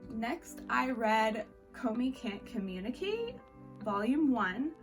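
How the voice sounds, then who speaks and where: A woman's voice: fairly high pitch, animated, slow pace, poor audio. A woman giving a casual, chatty review as a vlog host, speaking informally with "like" sprinkled through her sentences. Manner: informal, peppered with "like". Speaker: book reviewer hosting a reading wrap-up vlog. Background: background music.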